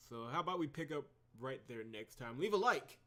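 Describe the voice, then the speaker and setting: A man's voice: medium pitch, lively intonation, fairly quick. A man hosting a video game playthrough with casual commentary, sometimes reading on-screen text aloud. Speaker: host of a gameplay video series.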